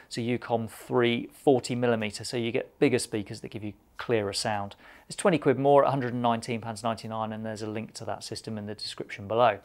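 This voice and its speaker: A middle-aged British man delivers a scripted product review to camera. His mid-pitched voice has a noticeable gravelly fry. He keeps the delivery conversational and matter-of-fact. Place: indoor studio with shop display shelves.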